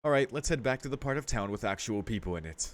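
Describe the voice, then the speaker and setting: A man's voice: mid-range voice, expressive, quick pace. A man reading a visual novel aloud in a casual let's-play style, mixing narration with his own commentary.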